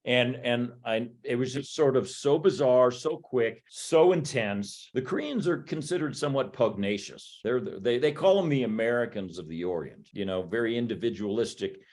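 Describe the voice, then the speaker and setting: A man's voice: mid-range voice, lively intonation, moderate pace, hardly any pauses, darkish tone. A man from California, a podcast guest, telling rambling anecdotes in casual chat, with many restarts and fillers.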